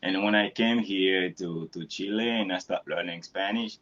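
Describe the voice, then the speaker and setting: A man from Haiti, native in Creole and French, talking his way through English practice in a casual, halting way. He restarts often and laughs easily. His voice sits low, and the sound is a little muffled and lo-fi. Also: fairly bright tone. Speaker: English learner.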